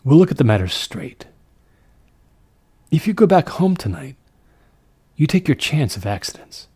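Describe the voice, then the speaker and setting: A man's voice: on the high side, sing-song, measured pace, a little mellow, breathy edge, no room sound, pitched above the performer's own voice, exaggerated voice. A man voicing an earnest, plain-spoken village stonemason from a horror story, with a folksy, emphatic and serious manner. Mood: intensely serious.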